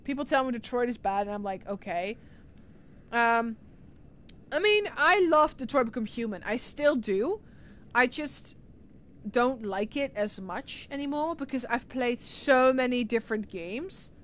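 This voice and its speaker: A woman's voice: fairly high pitch, lively intonation, a little slow, a little hiss or hum. A young woman with an Irish accent, chatting casually on a livestream from a room at home, rambling as she answers chat messages. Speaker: streamer.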